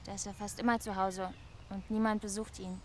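A woman's voice: mid-range voice, flat delivery, fairly quick, a little hiss or hum, lightly acted. A woman playing a lonely young woman in love, confiding hesitantly and musingly in a German radio play. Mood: wistful musing. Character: lonely, wistful young woman quietly in love with her neighbour.

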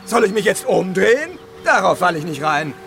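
Casting: gloating radio-drama villain, high and animated, smugly mocking. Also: male voice, brisk, vocal fry, music in the background, full character voice. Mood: defiant, calculating. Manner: mocking, smug. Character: sneering, gloating male villain holding others at gunpoint. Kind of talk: German radio drama acting.

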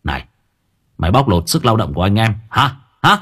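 A man's voice: fairly low pitch, sing-song, brisk, a bit bright, breathy edge, exaggerated voice. A man voicing a hot-headed, stubborn young labourer in an audio story, curt and challenging. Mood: furious, challenging.

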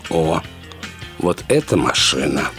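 A big elderly father in a radio drama. His voice is deep and very unhurried, with lively rises and falls. He sounds agreeable and reassuring, in brief phrases. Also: over music, heavily acted.

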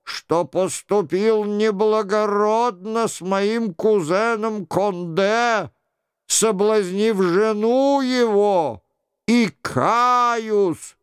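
Man voicing a mocking jester aping a penitent king, storybook, high plaintive drawl, exaggerated contrition, smooth. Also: drawn-out words, dry recording, studio quality.

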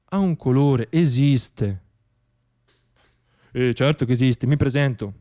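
A man performing a theatrical radio monologue as a stage storyteller, reciting rhythmically and declaiming with dramatic pauses. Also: mid-range voice, dark tone. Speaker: solo stage actor and storyteller.

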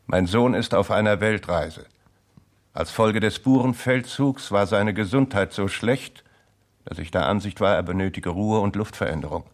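An actor in a 1960s German radio drama playing a gruff old colonel, speaking in a curt, formal, commanding manner. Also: male voice, fairly low pitch, normal speed, natural voice. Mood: stern, formal. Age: older.

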